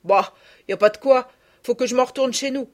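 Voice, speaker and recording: A woman's voice: high-pitched, fairly quick, voice pushed up, lightly acted. A woman voicing a good-natured country butcher with homely, folksy speech in an audiobook. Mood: kindly. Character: good-natured country butcher, grown man, gruff and kind. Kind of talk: audiobook character voice.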